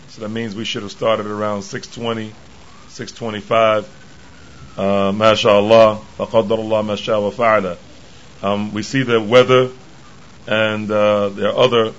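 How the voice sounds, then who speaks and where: A man with a hoarse, tired voice giving a religious lecture as the imam, speaking haltingly with many pauses and restarts. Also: lowish voice, dull audio. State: tired from travel.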